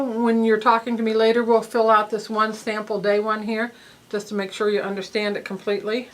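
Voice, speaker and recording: A woman with a middling pitch talks participants through their study forms. She goes step by step in a patient, plain way, with the odd um, and her nose sounds a little stuffy. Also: a bit bright. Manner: patient, step-by-step instruction with ums. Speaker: research study coordinator. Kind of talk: explaining study paperwork to participants.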